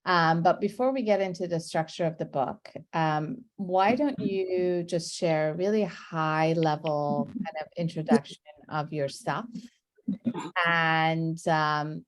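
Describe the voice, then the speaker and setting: A middle-aged woman from Iran with a somewhat low voice leads a book discussion over a video call. She speaks in an effusive, emphatic way, unhurried and leaving pauses between phrases. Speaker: moderator. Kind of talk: moderating an online book discussion.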